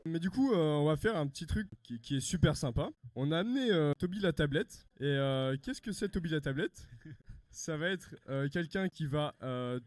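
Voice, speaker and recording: A young man co-hosting a student live show, joking and chatting casually in a halting way. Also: a little high, expressive, darkish tone, faint music behind. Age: young adult. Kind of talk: casual chat before a live audience.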